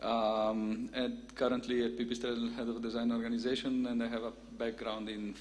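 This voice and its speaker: Male presenter, flat halting delivery, long hall reverb, faint hum behind, lots of fillers. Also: mid-range voice. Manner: halting, many fillers and restarts. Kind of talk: conference talk.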